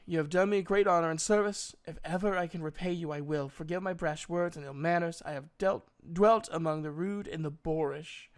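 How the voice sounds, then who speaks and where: A man's voice: a little high, fairly even intonation, brisk, hardly any pauses, clear, resonant tone, close to an everyday voice. A man voicing an earnest, humble nine-year-old prince in a storybook reading, with hesitant, formal courtesy. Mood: grateful, apologetic.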